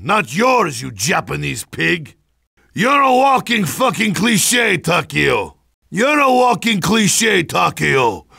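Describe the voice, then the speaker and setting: An actor playing a hard-drinking, boisterous Russian soldier in a video game, gruff and sardonic, with a Russian accent and mocking broken English. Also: male voice, high-pitched, bright, forceful tone, dry recording, studio quality, full character voice. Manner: blustering, mocking, broken English with dropped articles. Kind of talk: video game character barks.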